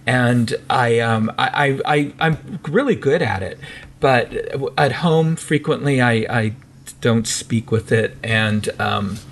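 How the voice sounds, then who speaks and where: A man's voice: mid-range voice, slow pace, slight background noise. A man with a General American accent co-hosting a podcast, chatting casually with lots of restarts and fillers. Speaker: podcast co-host.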